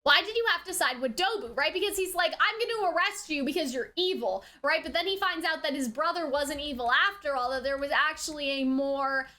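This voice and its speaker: High, bright-voiced woman chatting through commentary. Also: fairly quick, hardly any pauses. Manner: chatty, rambling, often ends phrases with a questioning tag. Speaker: anime reviewer hosting a reaction video. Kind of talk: casual episode commentary, retelling and speculating.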